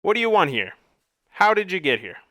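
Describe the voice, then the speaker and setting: A man voicing a gruff, touchy male monster with a bear's head, roaring and blustering, raising his pitch. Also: sing-song, fairly quick, sharp tone, dry recording, studio quality, exaggerated voice. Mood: grudging.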